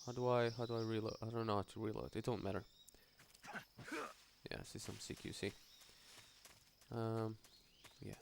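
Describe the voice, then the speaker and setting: A man with a low, gravelly voice commentating casually over a game. He rambles hesitantly and mutters, with faint noise and clicks behind him. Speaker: gaming video host.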